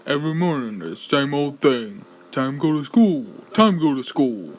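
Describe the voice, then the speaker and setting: A man's voice: medium pitch, big pitch swings, normal speed, soft background music, natural voice, poor audio. A man voicing a lazy, grumbling gorilla musician in a cartoonish fan dub of an animatronic show.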